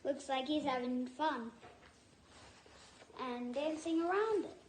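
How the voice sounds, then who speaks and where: A woman reading a picture book aloud in a halting, deliberate way, stopping to add personal asides. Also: high-pitched, darkish tone. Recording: echoey room with faint noise.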